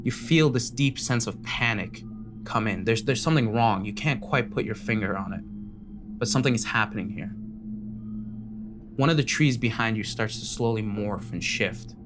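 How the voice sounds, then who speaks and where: A man's voice: lowish voice, moderate pace, music in the background. A man working as game master, narrating a tabletop fantasy game in vivid, descriptive second-person storytelling.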